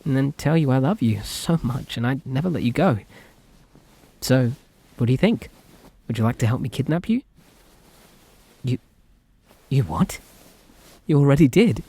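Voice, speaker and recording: A man's voice: mid-range voice, animated, moderate pace, pauses often, dark tone, breathy edge. A British man playing a shy, lovestruck and possessive young kidnapper in an intimate ASMR roleplay, speaking earnestly and hesitantly to the listener. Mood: overjoyed, flustered. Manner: hesitant, earnest, politely sweet, rambling.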